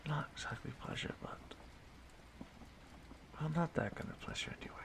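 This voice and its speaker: A man playing an ancient immortal stalker in an audio roleplay, softly menacing yet courteous and wistful, musing haltingly in a gravelly murmur that often drops to a whisper. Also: fairly high pitch. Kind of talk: slow intimate audio roleplay monologue.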